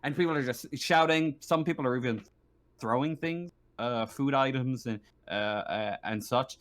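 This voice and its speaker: A man's voice: mid-range voice, measured pace, a bit bright. A man improvising narration as a tabletop game master, hesitantly, often stumbling and repeating words.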